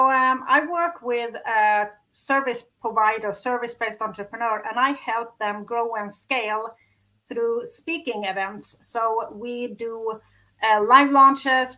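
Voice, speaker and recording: A Swedish woman with a bright, clear voice and a fairly high pitch chats easily as a podcast guest. English is her second language, and her accent is plain to hear. She talks in a relaxed, friendly way with light laughs. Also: poor audio. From Sweden. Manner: chatty, laughs while telling her story. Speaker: guest, business strategist. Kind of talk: casual interview talk.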